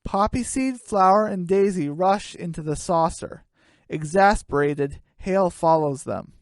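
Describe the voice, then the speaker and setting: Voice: mid-range voice, unhurried, no room sound. A narrator reading a homemade radio play as scripted storytelling.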